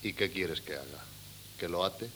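A man's voice: low-pitched, moderate pace, pushed and bright, a little hiss or hum. An actor playing a dry, resigned but affectionate middle-aged father in a 1970s radio drama, with wry theatrical delivery. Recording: old cassette radio recording.

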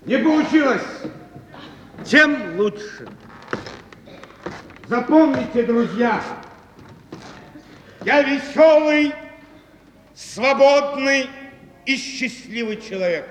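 In a reverberant hall with faint noise, a proud, defiant Soviet drama hero, a working boss from the taiga. He speaks slowly and emphatically, with theatrical pauses and a bright, forceful edge. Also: very high-pitched, full character voice. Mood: forced cheer. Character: proud, defiant taiga transport boss, bitterly insisting he is happy. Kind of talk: Soviet stage and radio drama acting. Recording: echoey hall, faint noise, old archive.

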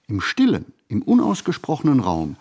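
A man giving a scripted reading of reflective literary prose in measured, deliberate phrases. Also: medium pitch, wildly swooping pitch, darkish tone. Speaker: reader of a literary prose text.

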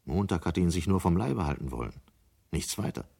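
An actor as a sober storyteller, giving scripted narration in a German radio play. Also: male voice, sits low, expressive, brisk, gravelly, mellow, full character voice. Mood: grave. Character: sober male storyteller of a dystopian tale.

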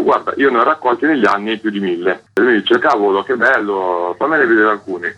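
A man's voice: fairly low pitch, bright, forceful tone, poor audio. A man, a guest author talking casually and discursively in an interview. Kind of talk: casual interview talk about own book.

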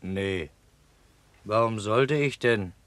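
A man's voice: fairly low pitch, slow, a bit bright, no room sound. An actor playing a flustered, dim-witted, grumbling policeman in a German radio comedy, blustering and repeating himself. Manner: blustering, repetitive.

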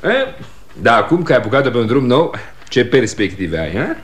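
An actor playing an eager, concerned younger brother in a radio drama, with a lively, questioning manner. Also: male voice, mid-range voice. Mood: curious. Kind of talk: 1960 Romanian radio theatre acting.

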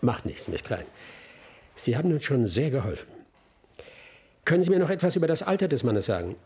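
An actor in a radio crime drama playing a patient middle-aged detective, questioning politely and methodically in a husky voice. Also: male voice, medium pitch, big pitch swings, fairly quick, a little mellow.

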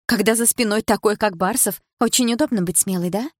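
A woman reading an audiobook, voicing a defensive, resentful teenage schoolgirl who turns shrill and accusing. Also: animated, normal speed, no echo, clean studio sound. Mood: bitter. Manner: shrill, accusing, near shouting.

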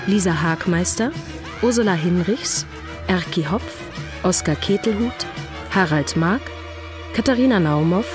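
Slow, formal woman announcer. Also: medium pitch. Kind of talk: slow formal credits reading.

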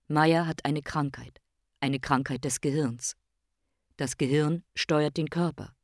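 An actor playing a detached narrator in a radio drama, speaking in terse, clinical, emphatic statements. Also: male voice, fairly high pitch, steady tone, slow pace, no room sound, studio quality. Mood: detached, grave. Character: detached clinical male narrator reporting a man's decline.

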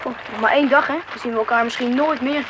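An actor with a woman's register playing an eager, curious schoolboy in a radio play, chatty and husky. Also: on the high side, steady tone, fast talker, noisy background, close to an everyday voice. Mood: wistful, chatty. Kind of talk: radio play acting with first-person narration.

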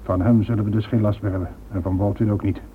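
A curt, businesslike conspirator, acted by a man with a fairly low voice and a calculating manner. The radio drama recording is narrow and lightly noisy. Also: steady tone, quick pace, natural voice. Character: businesslike, cold criminal conspirator. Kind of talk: Dutch radio drama acting.

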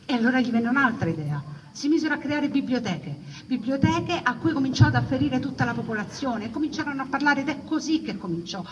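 A woman's voice: high voice, animated, brisk, few pauses. A woman telling a story theatrically, as in a stage monologue, with dramatic, building repetition. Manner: dramatic delivery with repeated, building phrases. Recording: noisy, distant, dull lo-fi recording.

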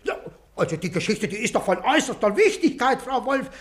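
An actor playing an excitable, indignant older man in a radio play, blustering, stammering and repeating himself. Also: extremely high pitch, brisk, exaggerated voice. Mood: agitated. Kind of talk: classic German radio play acting.

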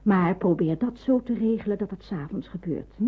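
An actress in a Dutch radio drama playing a watchful, practical nurse in hiding, explaining and cautioning. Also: female voice, mid-range voice, brisk, husky, lightly acted. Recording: narrow phone-like old radio recording.

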